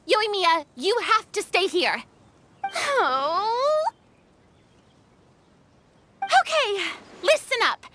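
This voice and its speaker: A woman playing a theatrical, high-spirited young film director in a video game, dramatic and commanding. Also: bright, forceful tone, heavily acted. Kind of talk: animated video game voice acting.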